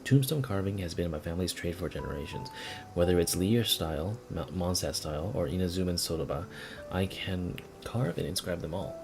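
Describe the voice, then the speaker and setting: Man reading as a low-voiced, proud tombstone carver. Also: fairly quick, vocal fry, music in the background. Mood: proud, businesslike. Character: matter-of-fact tombstone carver, proud tradesman, dryly threatening.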